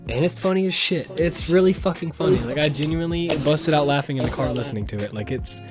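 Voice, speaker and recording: A man's voice: on the high side, quick pace. A man hosting a podcast in casual chat, rambling along with slang and plenty of swearing. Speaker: podcast host. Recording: music playing behind the voice.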